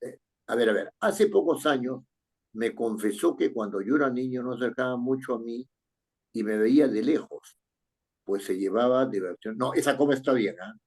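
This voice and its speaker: A man with a husky, slightly breathy voice at a middle pitch leads a writing lesson. He reads sentences aloud slowly, then explains the fixes, often ending on a questioning "right?". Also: a little mellow. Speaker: writing teacher. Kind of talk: correcting a student's paragraph in class.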